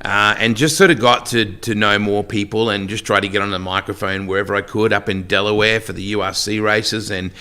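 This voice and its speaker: A man's voice: lowish voice, level tone, a little fast, hardly any pauses, bright, forceful tone, smooth. A middle-aged Australian man, a race announcer, chatting as a podcast guest and telling stories with joking asides. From Western Australia. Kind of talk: casual podcast interview.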